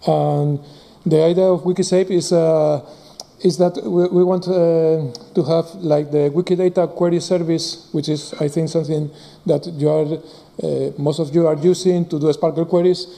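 A man presenting at a conference, halting with many pauses. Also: on the high side, fairly even intonation, gravelly, dark tone. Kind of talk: conference talk.

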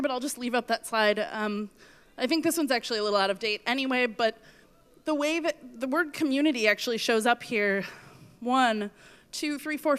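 A woman giving a talk on stage with slides, earnest and measured, her voice going from coughing. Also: a little high, fairly bright tone, clean tone. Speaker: conference speaker. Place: stage in a hall.

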